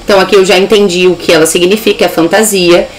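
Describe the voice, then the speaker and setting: A woman's voice: fairly low pitch, fairly even intonation, quick pace, poor audio. A young adult woman with a Brazilian accent giving an informal, instructive English lesson, carefully enunciating examples. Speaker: English teacher.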